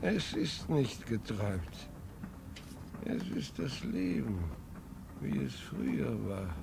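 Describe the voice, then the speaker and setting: A gravelly, wistful very old grandfather. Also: medium pitch, very animated, slow, exaggerated voice. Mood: defiant. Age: older. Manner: wistful, earnest storytelling. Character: very old grandfather, nostalgic, defiant dreamer. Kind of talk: 1950s radio drama acting. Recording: noisy old 1950s radio tape.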